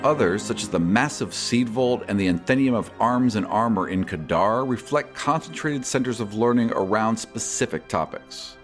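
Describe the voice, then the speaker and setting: A man's voice: lowish voice, animated, normal speed, few pauses, soft background music. A man hosting a podcast, reading out and answering listener questions in an articulate way. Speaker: podcast host and game master.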